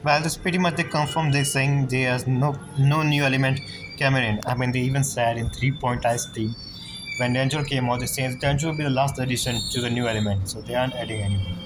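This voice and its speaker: A male gaming streamer with a medium-pitched voice gives casual commentary. He rambles and mutters reactions as he plays, with game music clearly audible behind him. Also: fairly even intonation, fairly quick, rough recording.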